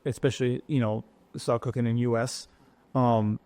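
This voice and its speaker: A man's voice: mid-range voice, steady tone, dark tone, no echo. Japanese-accented man, dry close sound. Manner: halting, many fillers and restarts. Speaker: chef and guest. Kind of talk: casual interview talk.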